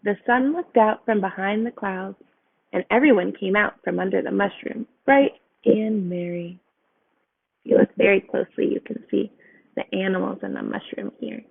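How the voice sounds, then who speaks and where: A woman's voice: medium pitch, moderate pace, vocal fry, husky, no room sound. A woman reading a picture book aloud to children, speaking simply and putting questions to her young listeners. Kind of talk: read-aloud storybook narration. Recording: phone-like narrow sound.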